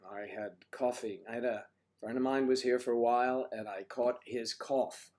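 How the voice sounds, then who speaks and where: Hoarse older man joking with viewers. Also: medium pitch, fairly even intonation, moderate pace. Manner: teasing and flirty, often drops to a soft mutter. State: hoarse, losing his voice. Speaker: livestream host. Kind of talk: casual livestream chat with viewers.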